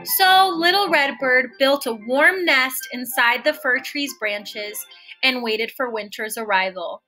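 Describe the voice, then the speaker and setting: A young adult woman reading a storybook aloud with careful, expressive storytelling. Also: sits high, takes it slowly, soft background music, low-quality recording.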